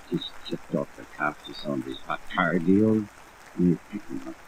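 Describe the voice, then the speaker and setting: An actor playing a crooked insurance adjuster turned blackmailer in a 1950s radio drama, with folksy, wheedling slang. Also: male voice, a bass voice, lively intonation, normal speed. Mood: smug confessing. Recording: noisy old radio, rain sound overlaid.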